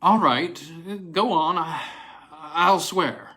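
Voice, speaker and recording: A man playing an excitable, anxious male university don in a storybook reading, with stammering eagerness. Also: on the high side, very slow, voice pushed up, heavily acted. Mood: agitated.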